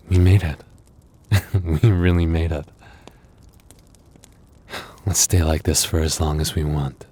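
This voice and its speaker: A man playing a very deep-voiced werewolf boyfriend. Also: breathy edge, heavily acted. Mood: happy, affectionate.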